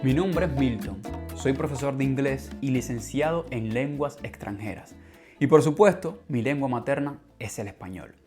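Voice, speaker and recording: A man with a Cuban Spanish accent hosts a podcast for Spanish learners. He reads a script calmly and clearly at a measured pace, and his voice sits at an everyday middle pitch. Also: soft background music. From Cuba. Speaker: language teacher and podcast host.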